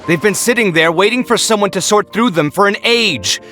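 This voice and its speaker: An actor playing a sarcastic, easily exasperated young housemate in a game cutscene. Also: male voice, high voice, fairly quick, bright, forceful tone, faint music behind.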